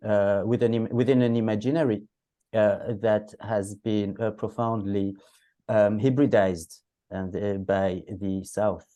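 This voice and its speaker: A man's voice: on the low side, dark tone. A man making an academic point in a workshop, hesitating and searching for words. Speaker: workshop participant. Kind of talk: academic discussion comment.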